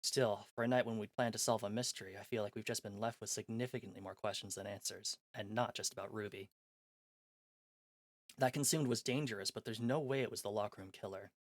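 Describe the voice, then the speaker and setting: A man streaming a visual novel, reading its text aloud and chatting between scenes in an animated, self-mocking, jokey way. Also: lowish voice, fairly quick, no echo. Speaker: game streamer. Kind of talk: live-stream chat, reading a game aloud.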